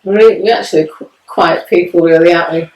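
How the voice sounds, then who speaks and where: A woman's voice: lowish voice, steady tone, a little fast, a little mellow, roomy sound, low-quality recording. A woman, introducing herself and her partner as house sitters in a light, chatty way. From England. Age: 50s. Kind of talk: casual self-introduction video.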